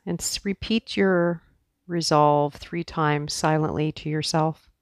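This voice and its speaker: A woman teaching yoga guides a meditation softly and soothingly, leaving long pauses between her instructions. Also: sits low, fairly even intonation, no room sound, clean studio sound.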